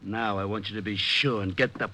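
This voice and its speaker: A man's voice: on the low side, fairly even intonation, fairly quick, natural voice. A man playing a menacing hired thug in an old-time radio crime drama. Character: menacing male hired thug warning the detective. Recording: very noisy old radio recording, clicks.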